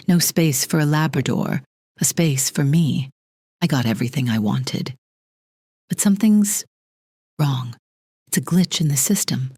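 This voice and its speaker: An actress playing a cold, controlling corporate executive in an audio drama, speaking in a clipped, deliberate, imperious way with a breathy edge. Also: female voice, low-pitched, darkish tone, dry recording, studio quality, exaggerated voice. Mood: smug, then unsettled, analytical. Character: cold, controlling female corporate executive, divorced, armor cracking.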